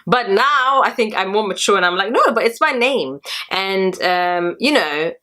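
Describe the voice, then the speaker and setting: A woman's voice: medium pitch, lively intonation, normal speed, bright, forceful tone. Chatty woman rambling in a video diary. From Germany. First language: Tamil. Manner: rambling, chatty, many fillers. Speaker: channel host.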